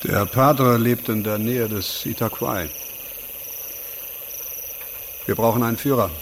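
An actor playing a probing, knowing jungle traveller in a radio drama, talking in a direct, conversational way. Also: male voice, medium pitch, normal speed, slight background noise, natural voice. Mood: low, probing.

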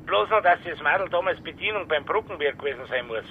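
A cautious Bavarian policeman passing on inside information. His voice is on the high side and informal. It comes through a thin, narrow telephone line with a faint rumble. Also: animated, fast. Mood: matter-of-fact. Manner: informal, confidential. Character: male police informant, confidential, cautious colleague. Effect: telephone filter. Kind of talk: naturalistic radio crime drama acting.

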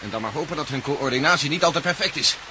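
An actor in a radio play as a cautious, matter-of-fact companion on the run. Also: male voice, a little high, expressive, fast, close to an everyday voice. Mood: businesslike. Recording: noisy radio drama recording with hiss.

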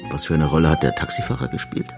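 A man's voice: very deep voice, fairly even intonation, very fast, vocal fry, over music. An actor playing a dogged male police detective in a radio crime drama, probing and dryly ironic. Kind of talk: radio play dialogue.

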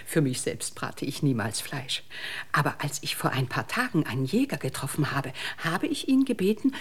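An actress playing a very old, solitary hostess with a husky voice, lofty and ceremonious in a radio drama. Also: female voice, sits low, sing-song, moderate pace, exaggerated voice. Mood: grand, serene. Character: very old, hermit-like lady hostess, serene and grand. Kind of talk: German radio drama acting.

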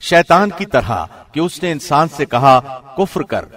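A man reading a scripture translation from a script in the formal, measured manner of a radio presenter. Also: a little high, sing-song, a bit bright. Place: studio.

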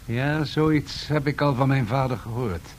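An actor playing a confident, ambitious industrialist in a radio drama, speaking in a measured, persuasive and formal way. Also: male voice, lightly acted. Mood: courteous, assured. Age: 30s. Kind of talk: radio play acting. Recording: faint noise and rumble, 1970s radio.

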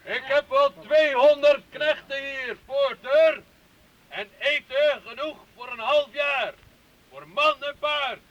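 An actor playing a proud, commanding medieval knight who declaims formally in a Dutch radio play. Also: male voice, very high voice, steady tone, slow pace, a bit bright, slight background noise, exaggerated voice. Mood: boastful. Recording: dull lo-fi old radio recording.

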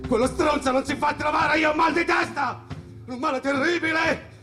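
An actor playing a troubled, bitter jazz musician confined in a hospital, in a dramatic theatrical monologue. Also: male voice, very high-pitched, fairly even intonation, moderate pace, faint music behind. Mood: furious, shouting. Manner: dramatic, swinging from hushed murmurs to shouted outbursts.

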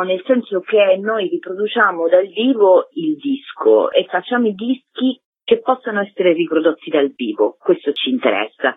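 A woman's voice: deep voice, husky. A woman, a musician talking casually as an interview guest. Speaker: singer and musician being interviewed. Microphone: telephone. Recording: tinny phone line with echo.

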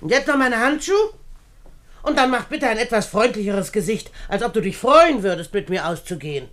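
A woman's voice: fairly high pitch, lively intonation, normal speed, fairly bright tone, dry recording. An actress playing a domineering, stingy old invalid aunt in a radio play, imperious and nagging as she scolds. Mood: impatient, scolding. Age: older. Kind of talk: German radio play acting.

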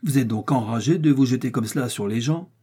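A man's voice: mid-range voice, brisk, dark tone, breathy edge, close to an everyday voice. A man reading aloud and voicing a vain, blustering giant of a male musketeer who speaks in an indignant tone.

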